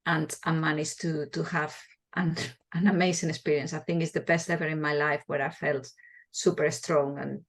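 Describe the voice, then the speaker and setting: A woman's voice: sits low, flat delivery, moderate pace. A woman in her forties from Spain with a Spanish accent, a midwife answering interview questions in a passionate, earnest way, often restarting her words.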